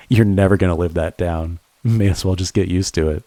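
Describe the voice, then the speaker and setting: Guy acting as devoted boyfriend type, low mellow voice, earnest n halting, romantic audio roleplay, really close and dry. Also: no room sound, lightly acted. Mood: tender, teasing. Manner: halting, earnest, with stammered repeats. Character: devoted young man, anxious, tender, teasing friend turned lover. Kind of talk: intimate romantic audio roleplay, speaking to the listener.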